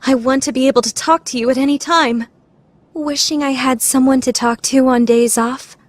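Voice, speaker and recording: A woman playing a proud, scheming, secretly lovestruck high school lady in a formal, theatrical anime radio play style. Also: fairly even intonation, normal speed, dry recording. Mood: flustered, proud. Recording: background music under the voice.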